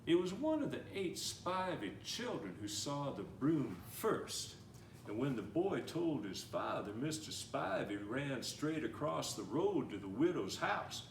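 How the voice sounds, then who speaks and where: A man reading a picture book aloud in a gentle, animated storytelling manner, slowing down and speeding up to shape the tale. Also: on the high side, gravelly. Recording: roomy, somewhat distant mic with faint noise.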